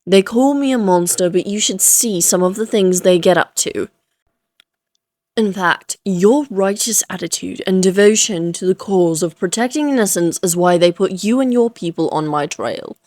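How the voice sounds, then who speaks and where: A woman's voice: medium pitch, moderate pace, a little mellow, no echo, clean studio sound, lightly acted. A woman voicing an ancient, amused and self-assured female vampire who taunts the listener in formal, theatrical phrases, in a Victorian fantasy audio roleplay. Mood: taunting, conspiratorial.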